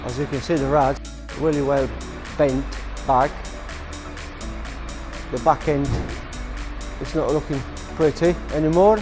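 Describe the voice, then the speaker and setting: A man's voice: a little high, steady tone, a little slow, pauses often, over music. A man from Poland with a Polish accent, speaking English as a second language, giving a plain step-by-step walk-around of a truck in a repair workshop. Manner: plain, explains step by step to viewers. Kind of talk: casual walk-around vlog.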